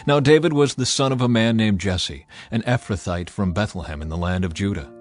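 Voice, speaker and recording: A man narrating scripture in a measured, dramatic reading. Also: fairly low pitch. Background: faint music.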